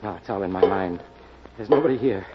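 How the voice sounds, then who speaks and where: An actor playing a panicky, haunted suspect in an old radio mystery, fearful and muttering to himself. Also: male voice, deep voice, fairly quick, loud music behind the voice, natural voice. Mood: frightened, agitated. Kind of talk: 1940s radio mystery acting. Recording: muffled, noisy old-time radio recording.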